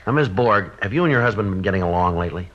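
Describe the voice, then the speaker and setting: An American actor playing a terse, level-headed police sergeant in an old radio drama, clipped and deadpan. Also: male voice, deep voice, rapid-fire. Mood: matter-of-fact. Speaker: detective narrator. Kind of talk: 1950s radio drama, scripted narration. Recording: dull old radio recording, music behind.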